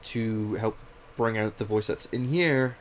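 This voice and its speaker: A man's voice: fairly low pitch, unhurried, slight background noise. A young man from Canada who speaks with a mix of British and Canadian accents, hosting a casual, rambling, self-deprecating personal monologue. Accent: British mixed with Canadian. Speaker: podcast host.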